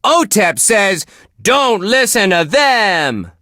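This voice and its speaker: An actor playing a relentlessly cheerful, wholesome radio DJ on a metal station in a video game, with chirpy, earnest patter. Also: male voice, high voice, big pitch swings, slow pace, bright, forceful tone, dry recording, studio quality, heavily acted. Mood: sunny, cheerful. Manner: chirpy, earnest, gee-whiz exclamations. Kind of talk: upbeat radio DJ patter, scripted.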